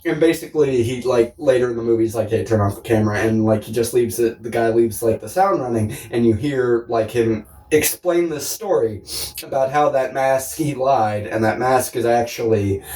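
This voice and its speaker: A man's voice: mid-range voice, normal speed, dark tone. Echoey, distant man chatting casually about films. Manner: rambling, profane, jokey. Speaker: podcast co-host. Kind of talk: casual film discussion. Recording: echoey room, distant from the mic.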